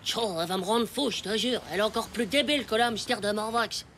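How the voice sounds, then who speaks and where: An actor voicing an excitable, easily exasperated ten-year-old boy in a French cartoon, whiny and dramatic. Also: medium pitch, quick pace, a little hiss or hum, natural voice. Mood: irritated. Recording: music behind the voice.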